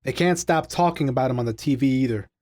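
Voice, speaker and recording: A man plays a confident, wry leader of adventurers in an audio drama. His mid-pitched voice is measured and articulate, recorded dry. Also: darkish tone, dry recording, natural voice. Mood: explanatory.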